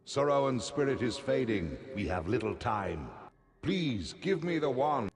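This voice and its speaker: An actor playing a grave, remorseful noble spiritual leader in a fantasy video game, speaking in a formal, measured manner. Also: male voice, medium pitch, big pitch swings. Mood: urgent pleading. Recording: echoey hall reverb, clear background ambience.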